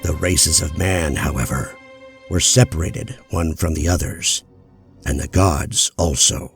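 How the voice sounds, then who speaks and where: Deep-voiced man narrating a fantasy audiobook. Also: unhurried, creaky. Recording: loud music bed under voice.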